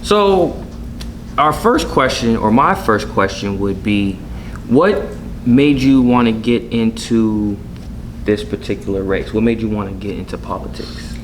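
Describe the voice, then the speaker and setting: A man co-hosting a casual interview, laid-back and quick to agree. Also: on the low side, animated, slight background noise, low-quality recording. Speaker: co-host.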